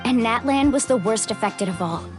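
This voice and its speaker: A woman's voice: fairly high pitch, expressive, normal speed, over music, natural voice. A woman playing a friendly, easygoing young guide in a video game, with a breezy, casual and reassuring manner. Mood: explaining, reassuring. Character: Mualani, friendly young female water-tribe guide, easygoing and upbeat. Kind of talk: video game character acting.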